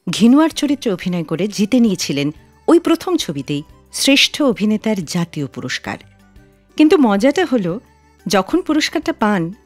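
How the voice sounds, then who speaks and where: A woman's voice: mid-range voice, darkish tone, no echo. A woman hosting a radio show, telling scripted stories and introducing songs in a studio. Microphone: close studio microphone, dry sound. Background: faint music.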